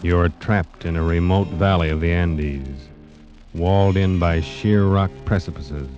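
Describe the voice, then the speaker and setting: A man's voice: low-pitched, fairly even intonation, a little slow. A man announcing a radio drama in a dramatic, portentous 1940s scripted style. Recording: dull vintage radio recording with music. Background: dramatic music.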